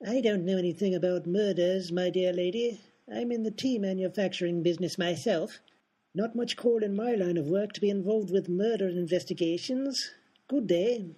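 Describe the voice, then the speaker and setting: A man's voice: sits high, moderate pace, dark tone, a little hiss or hum, close to an everyday voice. An actor in a radio comedy playing a haughty male aristocrat who speaks formally and stiffly. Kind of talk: comic radio play acting.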